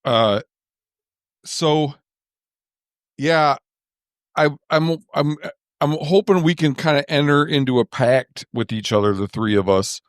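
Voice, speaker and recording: A man's voice: on the high side, takes it slowly, long pauses, no echo. A man hosting a casual podcast, thinking aloud with dry self-deprecating humor. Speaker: podcast host.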